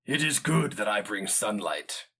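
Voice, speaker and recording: A man's voice: fairly low pitch, big pitch swings, a little slow, gravelly, pushed and bright, slightly rough, full character voice. A man voicing a commanding, haughty hero mage with formal, declamatory speech in a fantasy story reading. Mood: condescending.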